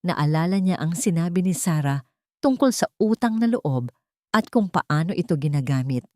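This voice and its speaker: A woman's voice: on the low side, lively intonation, normal speed, a little mellow, dry recording, clean studio sound. A woman reading a scripted, dramatic romantic story as a narrator.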